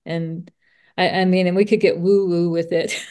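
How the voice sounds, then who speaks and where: A woman's voice: fairly low pitch, flat delivery, normal speed, a little mellow. A woman hosting a casual podcast interview, effusive and rambling, rephrasing her thoughts as she goes.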